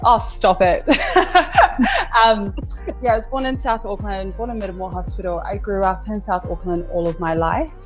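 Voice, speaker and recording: A woman's voice: mid-range voice, steady tone, normal speed, soft background music. A woman in her thirties from New Zealand, a business owner talking candidly as a podcast guest, chatty with frequent laughs and repeated words. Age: 30s. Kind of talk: casual interview chat.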